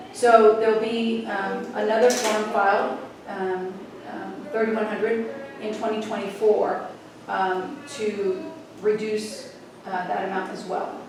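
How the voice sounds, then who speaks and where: A woman giving an informal, somewhat halting update to a board at a meeting. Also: medium pitch. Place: indoor public board meeting room. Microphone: distant room microphone. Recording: very echoey room, distant mic, noisy.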